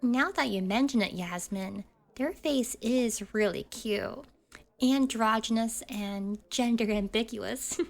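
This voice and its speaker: A woman acting a playfully cruel schoolgirl sidekick in an ASMR roleplay, teasing and mock-innocent. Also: fairly high pitch. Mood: amused, scheming.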